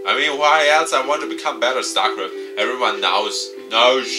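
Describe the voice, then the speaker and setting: A man's voice: mid-range voice, moderate pace, far from the mic, lightly acted. Man acting an eager, awkward amateur gamer, music behind. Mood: earnest, embarrassed.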